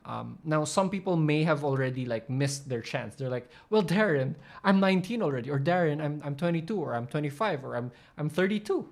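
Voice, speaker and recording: A man's voice: a little high, lively intonation, fairly quick, vocal fry, few pauses. Rambling man explaining with many fillers. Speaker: channel host explaining coaching. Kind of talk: casual commentary over a video.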